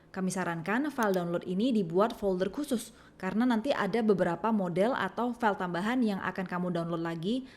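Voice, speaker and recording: A woman with an Indonesian accent reading a scripted software tutorial, giving clear step-by-step instructions. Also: medium pitch. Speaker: tutorial narrator.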